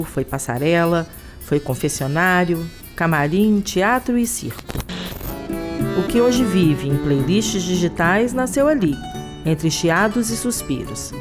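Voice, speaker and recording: A Brazilian woman reading scripted documentary narration as a podcast narrator. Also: on the low side.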